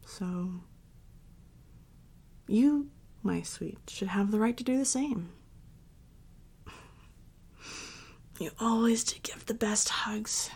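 Casting: actress as devoted, clingy girlfriend for intimate audio roleplay; higher-pitched breathy voice, tender, often whispering. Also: a little mellow, full character voice. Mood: tender, soothing. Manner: tender, slow, halting, soothing endearments. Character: affectionate young girlfriend, doting and clingy, tearful before parting. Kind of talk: intimate roleplay audio, soft ASMR-like acting.

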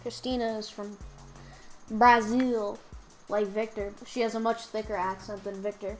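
A woman's voice: mid-range voice, level tone, a little slow, darkish tone, soft background music. A young woman in casual chat with a sarcastic, dismissive manner.

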